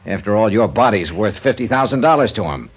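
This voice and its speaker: An actor playing a tough, blunt, world-weary insurance investigator in an old-time radio detective drama, questioning in a clipped, insistent way. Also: male voice, lowish voice, lively intonation, a little fast, husky. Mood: grim, insistent.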